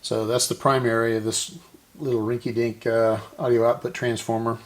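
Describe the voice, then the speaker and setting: A man's voice: mid-range voice, little pitch movement, normal speed, noisy background. A man giving casual hands-on repair narration, thinking aloud and often correcting himself as he works. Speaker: host of a radio repair channel.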